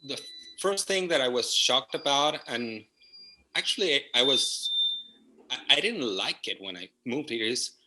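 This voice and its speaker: A man from Argentina, a native Spanish speaker with an Argentine accent, giving unhurried, reflective interview answers over a video call, often restarting his sentences. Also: medium pitch, lively intonation, pushed and bright, low-quality recording. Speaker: guest, property management partner. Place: home in the jungle.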